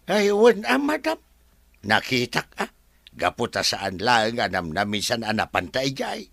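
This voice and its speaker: A man's voice: medium pitch, huge pitch swings, measured pace, gravelly, fairly bright tone, dry recording, full character voice. An actor playing a deferential male caretaker at a resort in a radio drama. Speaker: worker at the resort.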